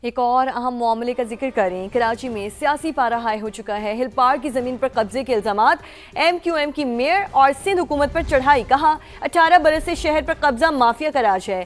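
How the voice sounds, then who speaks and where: A woman's voice: sits high, normal speed. A woman with a Pakistani accent reading scripted TV news as an anchor, with emphatic headline delivery. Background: news music bed.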